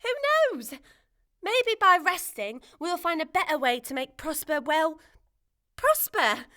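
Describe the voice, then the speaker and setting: A woman's voice: high-pitched, big pitch swings, measured pace, bright, forceful tone, exaggerated voice. A woman playing a brave, kind-hearted young girl in a family audio drama, earnest and hopeful. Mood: reassuring.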